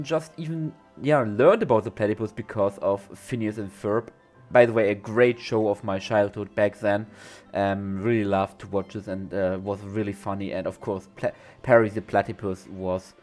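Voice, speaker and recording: A young man with a cold, voice slightly off, chatting and rambling through a game commentary voice-over with many restarts. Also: fairly low pitch. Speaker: zoo-building game creator.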